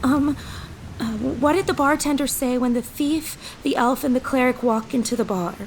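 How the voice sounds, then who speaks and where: A woman's voice: high voice, normal speed, a little hiss or hum, poor audio. A woman playing an anxious but determined teenage girl in a fantasy audio drama, talking casually and urgently.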